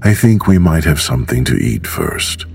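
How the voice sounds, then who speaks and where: A man voicing a kindly, calm old grandfather in a storybook, speaking deliberately. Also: very deep voice, gravelly, faint music behind, full character voice.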